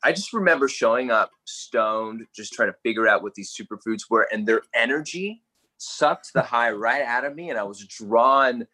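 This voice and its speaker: A man's voice: medium pitch, animated, normal speed, sharp tone. A man in his twenties with an Australian accent, chatting loosely and playfully as a podcast guest. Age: 20s. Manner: playful, laughing, loose and rambling. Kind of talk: casual podcast chat.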